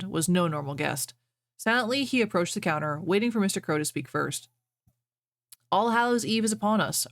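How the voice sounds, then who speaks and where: Low-pitched woman streamer, dry close sound. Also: a bit bright, no echo. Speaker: streamer reading fan fiction to viewers. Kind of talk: casual livestream chat and reading aloud.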